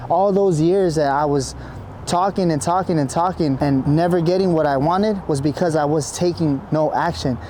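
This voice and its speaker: A man in his thirties with a hoarse, raspy voice, talking earnestly in a vlog about his week and his drive. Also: fairly high pitch, mellow, slight background noise. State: hoarse from being sick. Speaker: vlogger and business coach. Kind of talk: casual vlog intro, then motivational talk. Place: gym and outside at night.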